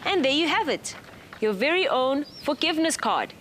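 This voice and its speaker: Woman, children's TV presenter, high voice, bouncy intonation, encouraging, music behind. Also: big pitch swings, moderate pace, background noise. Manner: gentle, encouraging, speaks to the child viewers. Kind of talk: coaching kids through a craft activity.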